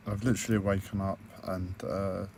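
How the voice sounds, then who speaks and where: A man with a croaky, hoarse voice, talking hesitantly with many fillers and restarts in a personal video diary recorded on a tablet. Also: sits low, little pitch movement, moderate pace, darkish tone, a little hiss or hum. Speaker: vlogger.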